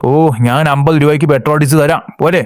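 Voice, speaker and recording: A cocky young slacker, voiced by a man, snaps back in a Kerala accent. His voice sits a little high, and it sounds close and dry. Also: steady tone, quick pace, clear, resonant tone, no room sound, close to an everyday voice. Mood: sulky. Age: young adult. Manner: sulky, cocky comebacks. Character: lazy jobless young man, cocky and sulky.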